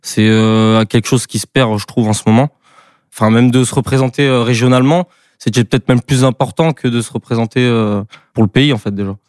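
A man's voice: medium pitch, fairly quick, smooth, no room sound, studio quality. A man of twenty-one from Brittany, a guest talking casually with lots of fillers. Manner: relaxed, filler-heavy. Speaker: guest, volunteer. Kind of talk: casual chat.

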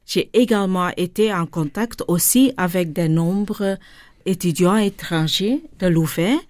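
Flemish woman, dutch native, talks real slow and unsure, lots of ums, lowish voice. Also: darkish tone, dry recording. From India, raised in Flanders. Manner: hesitant, searching for words, many ums. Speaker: guest, charity director. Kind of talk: radio interview.

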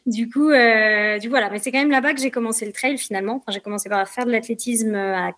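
A woman's voice: fairly high pitch, fairly even intonation, brisk, a bit bright, no room sound. A woman in her twenties from the Paris region, talking casually as a guest athlete, with frequent fillers and restarts. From Paris region, France. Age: 20s. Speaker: trail running athlete, guest. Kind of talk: casual podcast interview.

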